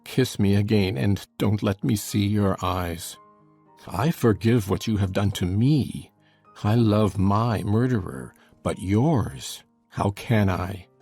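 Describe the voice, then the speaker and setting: A man playing a tormented, bitter lover in a dramatized audiobook, with a gravelly, husky voice and an anguished, intense delivery. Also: on the low side, takes it slowly, darkish tone, faint music behind, heavily acted. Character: tormented, brooding lover, bitter and fiercely passionate.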